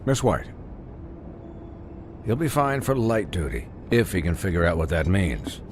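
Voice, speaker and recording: An actor playing a dry-witted, weary doctor in a video game, formal, courteous and a little condescending. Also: male voice, deep voice, very animated, a little slow, noisy background. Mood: dry, stern.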